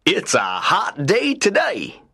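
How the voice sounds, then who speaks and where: High, excitable storybook rabbit. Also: male voice, vocal fry, breathy edge, exaggerated voice. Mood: cheery, curious. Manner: exaggerated, drawn-out exclamations. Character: friendly male rabbit, excitable, indignant, pleading when in trouble. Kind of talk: storybook character voice.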